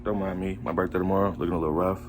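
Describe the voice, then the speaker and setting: A man's voice: low-pitched, racing. A man in his twenties hosting a reaction video, talking in a laid-back, casual way. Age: 20s. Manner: laid-back, relaxed, talks to chat. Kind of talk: casual commentary.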